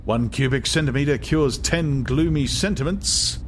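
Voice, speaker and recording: Man acting a breezy, smug high-voiced fellow. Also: normal speed, a little hiss or hum, close to an everyday voice. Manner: jaunty, slogan-quoting. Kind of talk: dramatized audiobook acting.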